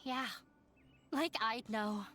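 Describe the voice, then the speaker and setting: A high-pitched young woman from a video game drama, gentle and apologetic. She speaks as a hurt, resigned daughter who takes the blame on herself, with music playing underneath. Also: drawn-out words, heavily acted. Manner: soft-hearted, apologetic, sorrowful delivery.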